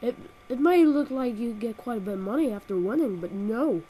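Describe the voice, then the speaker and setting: A woman's voice: fairly high pitch, lively intonation, fairly quick, dark tone, a little hiss or hum, poor audio. A woman with a cold and a nasal voice, reviewing a video game with sarcastic, exaggerated comic rants, recorded on a built-in laptop microphone. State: has a cold.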